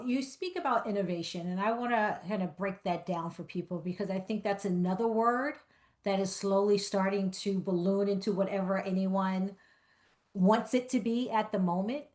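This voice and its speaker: A woman leads a conference fireside chat as moderator, her voice in a middle pitch. She talks in a lively way and keeps affirming her guest. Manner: lively and affirming, quick to agree. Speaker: interviewer, moderator.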